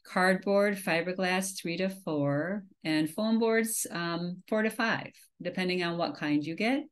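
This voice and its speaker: A woman's voice: lowish voice, a little slow. A woman from Minnesota giving a slide presentation on a video call, talking casually with many fillers and restarts. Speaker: beekeeping presenter. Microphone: webcam on a video call.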